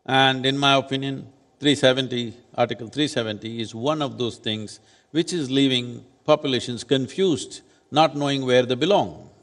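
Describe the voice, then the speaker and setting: A man in his sixties, seated on stage at a summit, answering questions in a measured, deliberate and firm way. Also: mid-range voice, a bit bright. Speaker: spiritual leader speaking as summit panelist. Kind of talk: onstage panel answer. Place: large conference hall stage. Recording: reverberant conference hall.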